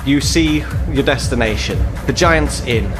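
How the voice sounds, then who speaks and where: A man's voice: medium pitch, a little slow. Man game master narrating over faint music. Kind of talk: descriptive tabletop game narration.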